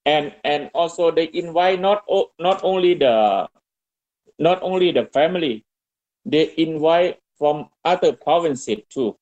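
A man from Thailand with a Thai accent, Thai as his first language, giving interview answers in halting, broken English full of restarts. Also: on the high side, expressive, darkish tone, muffled recording. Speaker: guest, restaurant owner.

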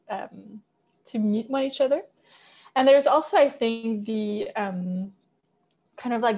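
A woman's voice: mid-range voice, darkish tone. A young woman from Winnipeg with a Franco-Manitoban accent, a guest chatting in a podcast interview with many fillers and restarts. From Winnipeg, Canada. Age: young adult.

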